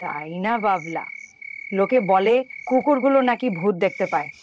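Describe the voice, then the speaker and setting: A woman's voice: medium pitch, expressive, moderate pace, close to an everyday voice. A woman voicing an earnest girl detective in a spooky cartoon. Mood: ominous. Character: earnest girl detective who warns of ghosts. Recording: noisy night insects and frogs behind. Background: crickets and frogs.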